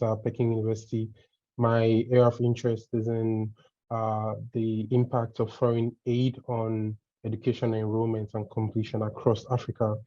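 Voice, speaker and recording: A man from Nigeria introducing himself as an alumnus panelist and doctoral student on a video call, speaking in a brisk, flat way. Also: lowish voice, no echo. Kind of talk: self-introduction on a webinar. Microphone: computer mic on a video call. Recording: dry, dull lo-fi call audio.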